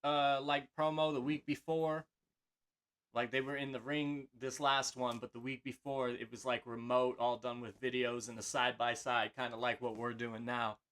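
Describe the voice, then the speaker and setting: A man's voice: medium pitch, level tone, normal speed, fairly bright tone. A man co-hosting a casual wrestling podcast, rambling with many fillers and restarts. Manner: rambling, filler-heavy, hesitant. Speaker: podcast co-host.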